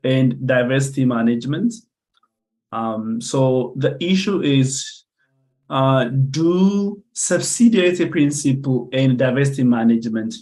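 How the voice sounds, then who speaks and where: A man's voice: mid-range voice, a little mellow, poor audio. A young man from Ethiopia giving an academic conference presentation over a video call. He talks hesitantly, with many fillers and restarts. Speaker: conference speaker.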